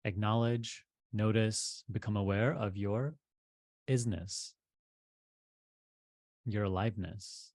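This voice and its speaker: Male meditation guide, lower register, deliberate phrasing with pauses, very dry sound. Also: fairly even intonation, darkish tone, no echo. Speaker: meditation teacher.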